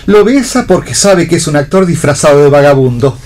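A man playing a wry, knowing stage prompter in radio theatre. His fairly high voice swings animatedly in pitch, over faint noise. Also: animated, fairly quick, natural voice. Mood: brisk, directing.

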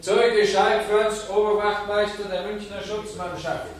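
A formal court usher calls out in a radio play from far off in a very echoey hall. His voice is pitched high and drawn out, with crowd murmur around. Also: little pitch movement. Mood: official. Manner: calling out, drawn-out. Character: loud formal court usher calling witnesses. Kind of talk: German radio play announcing.

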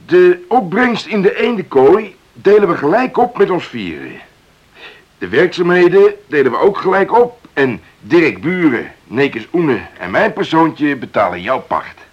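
On a dull radio recording, a cautious countryman. His voice is slightly high and moves up and down in lively swings. He sounds hesitant and conciliatory, as in a radio play. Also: unhurried, husky, full character voice. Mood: earnest. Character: cautious, amiable countryman negotiating for his partners. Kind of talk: Dutch radio drama acting.

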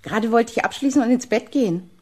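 An actress playing a teasing, flirtatious gallery owner with a husky voice and playful theatrical manner in a radio drama. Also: female voice, a little high, fast, mellow.

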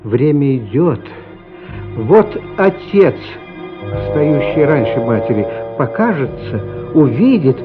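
A man narrating a literary radio play as a solemn, compassionate storyteller, expressive and measured, with dramatic pauses. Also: medium pitch. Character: solemn, compassionate storyteller of a boy's inner life. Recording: slightly dull, lo-fi radio recording. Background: music.

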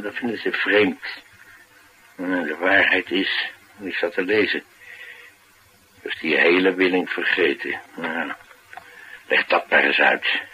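Deep-voiced, streetwise driver, wry and brooding. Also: male voice, sharp tone. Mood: brooding, puzzled. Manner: colloquial, confiding, musing. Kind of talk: naturalistic radio drama acting. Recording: noisy radio drama recording.

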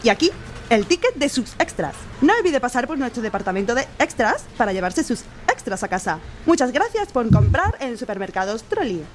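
Woman acting a high, lilting supermarket cashier. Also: big pitch swings, fairly quick, slight background noise. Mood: polite, scripted. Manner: formulaic customer-service politeness. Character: chirpy, scripted female supermarket cashier. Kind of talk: radio play acting.

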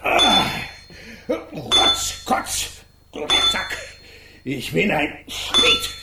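An actor playing a foul-mouthed, hard-drinking blacksmith in a children's radio play, cursing and grunting in short bursts. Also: male voice, on the high side, wildly swooping pitch, extremely slow, heavily acted. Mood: coarse, boastful. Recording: echoey room with background noise.

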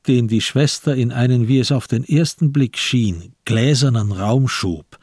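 A man narrating a literary radio drama in a measured, grave and deliberate manner. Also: fairly low pitch, slightly rough, no echo, clean studio sound.

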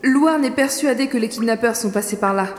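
A woman's voice: fairly high pitch, fairly quick, natural voice. A woman acting a blunt, slangy, sardonic heroine in a French-language audio drama. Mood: teasing, brisk. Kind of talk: audio drama acting and first-person narration. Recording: loud music bed underneath. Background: music.

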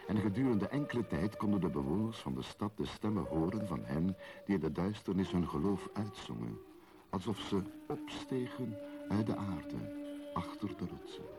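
An actor playing a solemn storyteller of a mystical legend, narrating poetically and speaking straight to the listener in a radio play. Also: male voice, rumbling low, vocal fry, full character voice. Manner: solemn, incantatory, addressing the listener directly.

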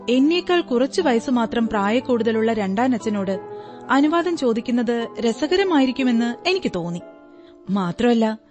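Give the part to a woman acting a devoted, trusting young bride-to-be in a radio play. Her voice sits fairly high, and she gives a polite, earnest, detailed account. Faint music runs behind her. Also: a little mellow, lightly acted. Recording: radio drama with background music.

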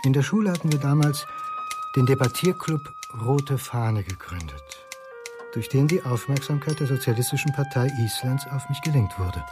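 A man's voice: mid-range voice, sing-song, normal speed, over music, close to an everyday voice. A man playing a haunted former socialist looking back in a reflective radio drama monologue. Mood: reminiscing. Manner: reflective, confessional. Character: haunted male Icelandic former socialist student, confessing.

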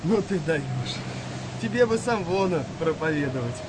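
A man plays a nervy young sailor in a Second World War radio drama. His voice is high for a man, excitable and full of questions, with engine-like noise clearly behind it. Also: normal speed, close to an everyday voice. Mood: grumbling, curious. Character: young, frightened, inquisitive sailor on a wartime convoy. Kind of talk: audio play acting.

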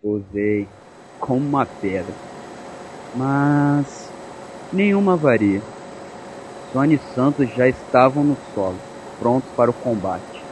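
A man performs a cynical, nervous soldier narrating his own war in a radio play. He has a Brazilian accent, a medium-pitched voice and a sardonic, foul-mouthed colloquial manner. Clear engine noise and ambience surround him. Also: lively intonation, slow pace, long pauses, darkish tone, low-quality recording. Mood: tense. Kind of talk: first-person audio drama narration.

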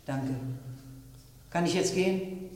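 An actress playing a stern, curt middle-aged widow in a crime radio play, controlled and precise. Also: female voice, fairly low pitch, slow, darkish tone, a little hiss or hum. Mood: cool, controlled. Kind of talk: German radio drama acting. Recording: echoey hall, distant from microphone.

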